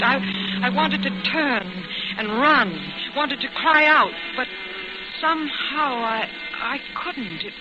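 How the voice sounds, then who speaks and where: An actress playing an anxious young woman passenger in a 1940s radio suspense drama, with dramatic, halting phrasing. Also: a little high, lightly acted. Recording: muffled vintage radio recording with music.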